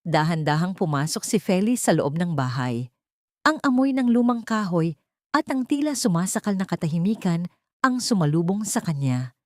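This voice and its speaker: A woman's voice: on the low side, animated, moderate pace, dry recording, studio quality. A woman narrating a scripted dramatic story in a solemn, emotive manner.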